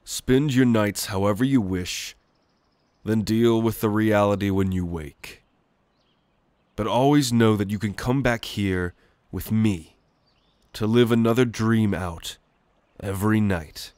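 A low, very slow male voice from a man acting out a role plays a gentle demon of shadow. He speaks softly and soothingly, with hesitant pauses, straight to the listener in an intimate ASMR roleplay. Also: no room sound. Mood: reassuring, inviting. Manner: soft, halting, soothing.

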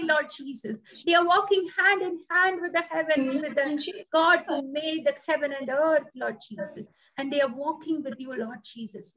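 A woman with a high voice prays aloud over a gathered crowd, pleading in repeated phrases. Her lines rise with urgency and then fall away into quiet, low refrains. The prayer is spontaneous and fervent. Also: low-quality recording. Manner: repetitive, ends phrases with soft low 'Lord Jesus'. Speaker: prayer minister.

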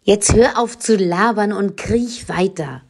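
A woman's voice: mid-range voice, slow. A woman playing a grumpy, bossy old witch in a comic audio drama, snappy, scolding and impatient.

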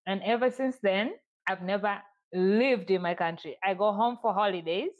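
Woman in her thirties, mixed Tanzanian-international accent, mid pitch, chatty guest. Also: normal speed, phone-quality sound. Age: 30s. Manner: chatty, self-deprecating, laughs often. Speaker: podcast guest. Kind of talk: casual interview.

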